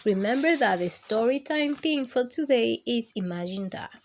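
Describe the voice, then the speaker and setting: A woman hosting a children's storytime, reading picture books aloud expressively with drawn-out emphasis for young listeners. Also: on the high side, expressive, slight background noise. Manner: drawn-out, emphatic delivery addressed to small children.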